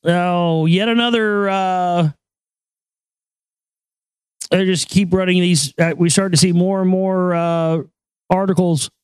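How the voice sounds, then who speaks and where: A man with a high voice hosting a casual talk show, joking crudely and rambling over news items. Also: fairly even intonation, slow, long pauses, a little mellow, clean tone, no room sound. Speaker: show host.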